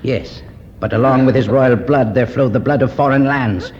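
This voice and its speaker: An actor playing a solemn, commanding Egyptian cult leader in a 1950s radio drama, speaking in a formal, declamatory way. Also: male voice, mid-range voice, normal speed, lightly acted. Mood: solemn, resigned. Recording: muffled, noisy 1950s radio recording, reverberant.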